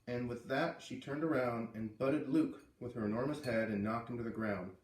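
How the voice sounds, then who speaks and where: A man's voice: medium pitch, steady tone, normal speed. Man reading aloud, in an echoey room. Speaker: read-aloud storyteller. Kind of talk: expressive read-aloud of a children's novel. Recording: roomy echo, distant from mic.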